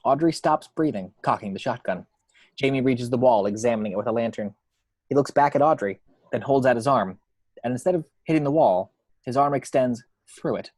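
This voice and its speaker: A man reading screenplay action description as a narrator, with measured, suspenseful storytelling. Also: mid-range voice, a little mellow, no echo.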